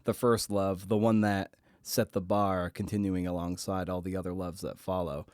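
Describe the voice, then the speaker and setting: Low-voiced man, halting podcast interviewer. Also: flat delivery, a little mellow, clean tone, no echo, clean studio sound. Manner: halting, rambling questions with repeated words. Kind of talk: conversational literary podcast interview.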